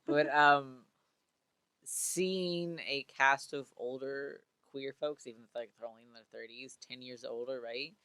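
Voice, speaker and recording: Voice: mid-range voice, steady tone, unhurried, sharp tone, clean tone, dry recording. Early-twenties male co-host, playful and conversational, close dry studio mic. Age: 20s. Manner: playful, ends points with "right?". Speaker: podcast co-host. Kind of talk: casual chat. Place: small studio room. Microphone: studio mic on stand.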